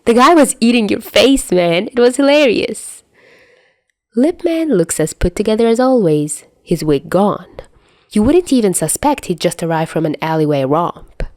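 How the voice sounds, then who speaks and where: Expressive woman narrating, swinging pitch. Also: dry recording. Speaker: fan fiction narrator. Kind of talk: expressive scripted story narration.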